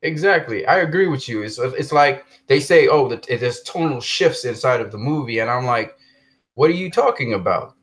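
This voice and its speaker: A man's voice: medium pitch, lively intonation, normal speed, fairly bright tone. Guy hosting a movie chat, mid voice, eager and emphatic. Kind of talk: casual chat about films.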